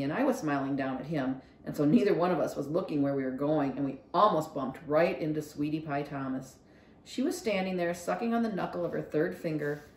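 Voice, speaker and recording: A woman reads a storybook aloud as a library read-along. Her voice sits a little low and moves with highly expressive intonation. The recording has a noticeable room echo. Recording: roomy echo.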